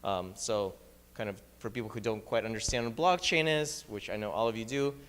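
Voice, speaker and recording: A man with a Ukrainian accent giving a live slide presentation at a meetup, talking quickly with fillers and restarts. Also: fairly low pitch, a bit bright, long reverb tail. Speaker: startup founder presenting at a meetup. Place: meetup room.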